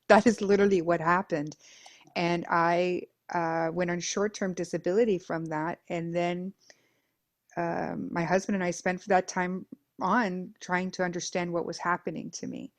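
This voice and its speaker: A middle-aged woman from Denmark, a guest on an interview, speaking earnestly about her own life, repeating phrases in lists and pausing with fillers. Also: on the low side, level tone. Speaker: guest, Reiki master and life coach. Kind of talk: reflective personal interview.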